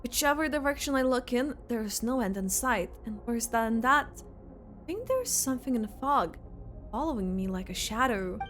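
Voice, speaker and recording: A woman streamer reacting live to a game and reading its text aloud in an expressive, exclamatory way. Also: on the high side, normal speed. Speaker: gaming streamer. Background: game music.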